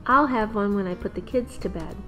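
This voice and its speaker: A woman's voice: medium pitch, brisk, close to an everyday voice. Woman playing a reassuring, practical young mom. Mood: cheerful. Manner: reassuring, gently firm. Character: affectionate, practical young mother of small children. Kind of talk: radio play acting. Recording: music behind the voice.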